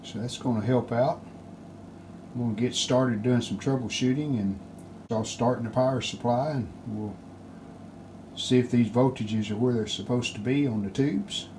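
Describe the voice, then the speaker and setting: A man with a mid-pitched voice talks through hands-on repair work in a casual way. He speaks off the cuff in short phrases, thinking aloud as a hobbyist restorer would. The home-video sound carries clear noise. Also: moderate pace, darkish tone, poor audio.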